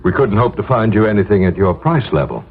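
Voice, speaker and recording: A coolly courteous employment agent who will not bend, in a 1970s radio play. His voice is on the low side, formal, measured and impersonal. The sound is narrow and tinny. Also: very animated, breathy edge, full character voice. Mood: coolly regretful. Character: coolly polite, unyielding male employment agent. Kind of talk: 1970s American radio drama acting.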